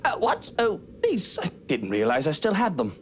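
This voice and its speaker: An actor playing a weary, prickly, proud young gentleman in a visual novel game, speaking formally and testily. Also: high-pitched, huge pitch swings, moderate pace. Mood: flustered. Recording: noisy background.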